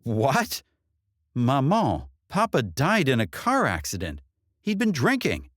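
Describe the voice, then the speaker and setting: Guy doing a grown daughter in an audiobook, earnest n defensive, voice lifted, very animated. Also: mid-range voice, slow, dry recording, studio quality, voice pushed up, full character voice. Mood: questioning. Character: confident, defensive grown daughter, former engineering director.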